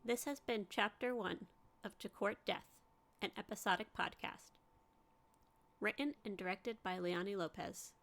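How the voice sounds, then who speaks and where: A woman's voice: medium pitch, unhurried, creaky, fairly bright tone. A woman reading scripted closing credits for an audio drama podcast.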